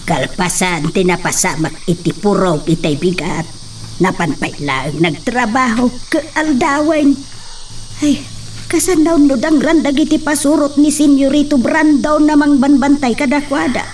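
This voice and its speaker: A woman's voice: sits high, unhurried, a little mellow, recorded from a distance, a little hiss or hum. A woman with an Ilocano accent playing an anxious, tearful village mother in a radio drama.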